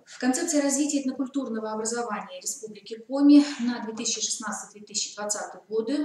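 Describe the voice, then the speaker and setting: A woman's voice: fairly high pitch, a little mellow. Hesitant woman lecturing in an echoey room. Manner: hesitant, frequent "uh" fillers. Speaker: seminar speaker. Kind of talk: formal lecture. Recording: echoey room, mic far off, faint noise.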